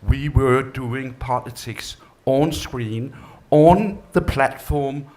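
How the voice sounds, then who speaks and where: A man's voice: medium pitch, darkish tone. A Danish man who was a television drama chief, answering on a panel in careful, emphatic English with some unusual phrasing. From Denmark. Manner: deliberate, emphatic, with unusual word choices. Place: on stage before an audience.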